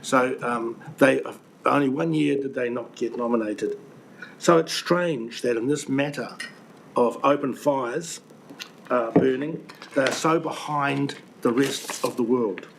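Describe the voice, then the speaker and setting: An older man with a New Zealand accent, croaky from the flu, presenting earnestly and indignantly to a council committee with many ums and restarts. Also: medium pitch, unhurried, rough recording. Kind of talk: public submission to a committee. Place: council meeting room. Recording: webcast with faint room noise.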